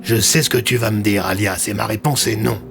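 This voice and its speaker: A man's voice: lowish voice, steady tone, a little fast, gravelly, fairly bright tone, faint music behind. A man playing a firm police commissioner. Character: firm, courteous senior male police commissioner. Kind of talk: audio drama acting.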